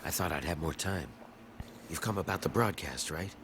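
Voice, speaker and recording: Actor as a deep-voiced, weary rebel man. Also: normal speed, noisy background, natural voice. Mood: wary, grateful. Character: weary, desperate escaped rebel man pleading for enslaved people. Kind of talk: video game dialogue acting. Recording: game audio with background music.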